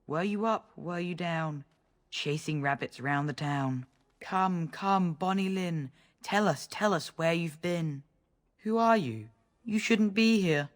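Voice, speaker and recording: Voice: medium pitch, slow, natural voice. Eerie, guarded little village boy played by an actor. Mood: guarded, suspicious. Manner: singsong, guarded, blunt. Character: creepy secretive young village boy. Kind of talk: video game character dialogue.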